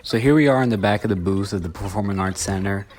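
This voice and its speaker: Deep-voiced young man demoing a sound board. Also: fast, a little hiss or hum. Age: late teens. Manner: relaxed, chatty explaining. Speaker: student sound engineer. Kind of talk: casual equipment demo. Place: theater sound booth.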